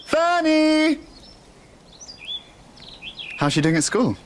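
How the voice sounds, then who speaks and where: A man's voice: very high-pitched, sing-song, extremely slow, a little hiss or hum, full character voice. An actor playing a doting father and wounded, estranged husband, by turns playful and terse, in a naturalistic radio drama. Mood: defensive. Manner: swings between playful and terse.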